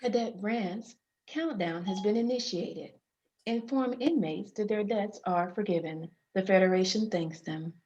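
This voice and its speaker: A woman's voice: medium pitch, unhurried, warm tone, a little hiss or hum, natural voice. A woman playing a formal, impassive spaceship AI in a screenplay table read, on a dull lo-fi line.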